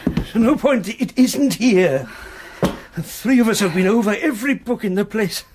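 A husky, flustered professor. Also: male voice, high-pitched, expressive, normal speed, darkish tone. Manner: agitated, fussy. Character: flustered male professor, owner of a stolen book.

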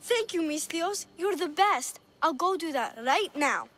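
A woman playing a naive, lonely little orphan girl in a video game, with an earnest, halting, childlike way of speaking. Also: very high-pitched, lively intonation, a bit bright, full character voice. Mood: delighted. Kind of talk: video game cutscene dialogue.

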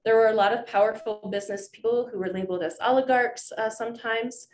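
A woman's voice: on the high side, fairly even intonation, fast talker. A woman political science professor giving a lecture to a room from her slides, with many ums and restarts. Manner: frequent ums and uhs, restarts, explanatory. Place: lecture room with projector screen. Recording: echoey room, faint background noise.

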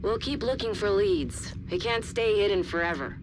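A woman playing a steady, understated agent checking in with a colleague in a video game. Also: medium pitch, normal speed, noisy background, close to an everyday voice. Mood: dry, steady. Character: steady, dry-witted female field agent colleague. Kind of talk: dramatic game dialogue.

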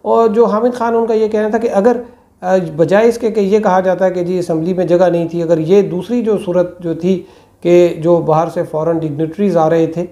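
A man, giving political commentary to camera in a small room on a lapel mic, measured and full of hesitations. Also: high voice, steady tone, mellow. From Pakistan. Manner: measured, many hesitations and fillers. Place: small indoor room with plain walls.

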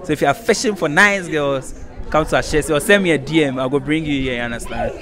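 A man's voice: on the high side, fast, crowd noise. A young Ghanaian man with a Ghanaian accent hosting a teasing outdoor quiz interview at night, laughing often and slipping into Pidgin. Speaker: street interviewer host. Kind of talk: playful campus quiz interview. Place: outdoor rooftop terrace at night. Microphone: handheld mic.

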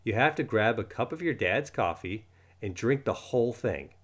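A man voicing a mischievous schoolboy prankster in a storybook, explaining things in a mock-earnest way with bright exclamations. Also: on the low side, animated, moderate pace.

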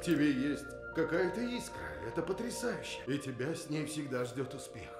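Earnest father, echoey, animated. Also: a little high, normal speed. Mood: tender, earnest. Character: earnest, caring father of a teenage son. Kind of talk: dubbed animated film acting. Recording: echoey film dialogue with music.

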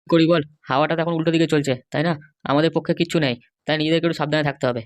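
A woman's voice: low voice, fairly even intonation, very fast, lightly acted. A woman voicing a protective, stern middle-aged father in a comic cartoon, speaking in an admonishing way. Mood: worried.

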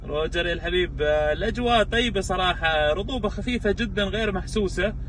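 Fast-talking Gulf Arabic man, noisy car. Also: sits high, fairly bright tone. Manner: courteous, effusive with blessings and greetings. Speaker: amateur radio operator. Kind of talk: casual ham radio exchange. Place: inside a vehicle at night. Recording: clearly noisy in-car recording.

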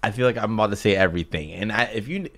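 A man in his twenties with an American accent, reacting casually and slangily, animated and easily flustered. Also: on the low side, racing. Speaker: reaction video host. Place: small indoor room.

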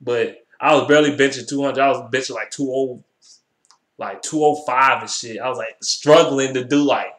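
A man's voice: mid-range voice, fairly even intonation, normal speed, fairly bright tone. An American man in his twenties chatting casually to camera in slangy, profanity-heavy speech, often repeating himself. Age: 20s. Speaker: vlogger. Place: small bare indoor room. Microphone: webcam at arm's length. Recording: echoey room, webcam-style microphone.

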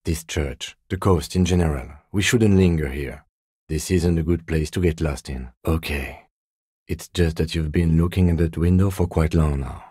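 A man acting a reserved, dutiful police detective in a video game, speaking in a measured, formal, deadpan way with dry courtesy. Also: rumbling low, husky, no echo. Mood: cautious, quietly insistent. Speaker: voice actor.

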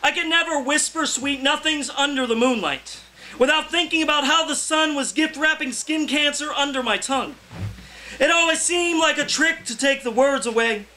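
A young man, a poet, reading his verse aloud live in a loud, theatrical, impassioned delivery. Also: very high voice, normal speed, low-quality recording. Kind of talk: live poetry reading, declaimed. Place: indoor cafe reading before a small audience.

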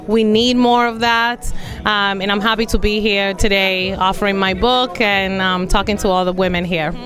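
A woman's voice: medium pitch, steady tone, moderate pace, a bit bright, soft background music. A woman in her forties, a life and business coach, speaking earnestly with many fillers into a handheld mic at an indoor event. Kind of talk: event interview testimonial. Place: indoor event room against a plain wall.

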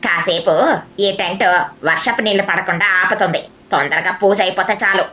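A woman's voice: on the low side, steady tone, brisk, pushed and bright. A woman voicing a bird character in a children's cartoon story. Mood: concerned, practical. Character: bird villager and schoolmate in a children's tale.

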